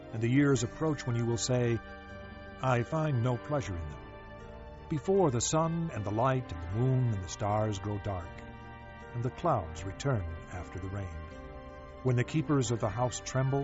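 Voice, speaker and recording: A man playing a solemn, reflective ancient sage who declaims scripture in a measured, expressive way. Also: lowish voice. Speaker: audio Bible reader. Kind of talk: dramatized scripture reading. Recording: dull, lo-fi audio under music. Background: music.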